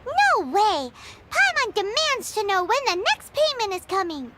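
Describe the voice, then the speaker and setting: A woman voicing a tiny, childlike floating fairy companion, excitable and cheeky, in an anime game. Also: very high-pitched, a little slow, heavy background noise, full character voice. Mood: indignant. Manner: speaks of herself in the third person, exclamatory.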